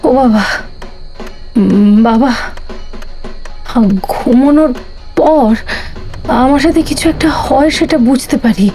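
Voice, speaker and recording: A woman playing an innocent, frightened young girl who pleads haltingly with her father in a horror audio drama. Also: high voice, dark tone, faint music behind, poor audio. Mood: anxious, pleading.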